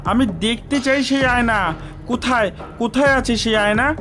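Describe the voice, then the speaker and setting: A woman's voice: fairly high pitch, normal speed, fairly bright tone, lightly acted. A woman voicing a mischievous, curious little village boy in a cartoon, with a playful, cheeky manner. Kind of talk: cartoon dialogue acting.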